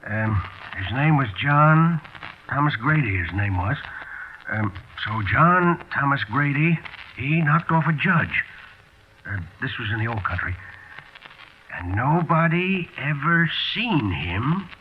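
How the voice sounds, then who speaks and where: An actor playing a drunken, rambling crook in a 1940s radio drama, with an American accent and halting, uneducated slangy speech. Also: male voice, mid-range voice, lively intonation. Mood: boozy, rambling. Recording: tinny, faintly noisy 1940s radio recording.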